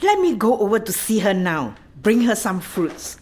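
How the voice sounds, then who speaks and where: A woman's voice: medium pitch, very animated, normal speed, a little mellow, natural voice. A woman playing a kindly, bewildered old granny in a radio play, with a questioning, exclaiming manner. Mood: warm, pleased.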